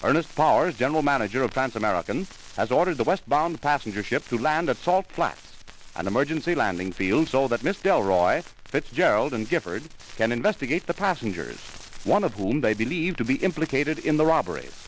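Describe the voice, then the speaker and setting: Male actor as serial announcer, dramatic narration, animated intonation, old-time radio, faintly noisy recording. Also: mid-range voice, a little fast, lightly acted. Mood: urgent, dramatic.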